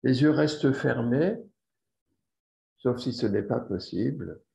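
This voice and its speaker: A man leading a guided yoga relaxation as the instructor, speaking deliberately and repeating phrases for emphasis. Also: mid-range voice, lively intonation, gravelly, dark tone. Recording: roomy echo, slightly dull sound.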